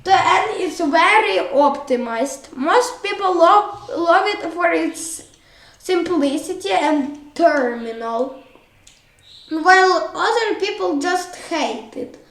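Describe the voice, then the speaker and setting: A woman from Ukraine with a Ukrainian accent and a childish-sounding voice, talking through a software tutorial in a hesitant, halting way with many fillers. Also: very high-pitched, animated. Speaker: tutorial host. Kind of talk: screen-recorded software tutorial. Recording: echoey room.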